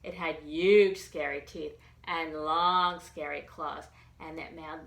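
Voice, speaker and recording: A woman reading aloud as a children's library storyteller, expressive and animated, in simple child-directed language. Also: low voice, slow pace, vocal fry. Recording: roomy echo with background noise.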